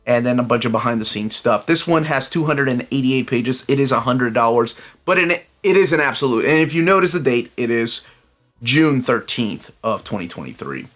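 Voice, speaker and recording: A man's voice: medium pitch, lively intonation, normal speed, fairly bright tone. A middle-aged man from Peru hosting a casual solo video, chatty and enthusiastic, wandering into asides full of fillers. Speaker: comic book collector channel host. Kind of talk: casual solo review vlog.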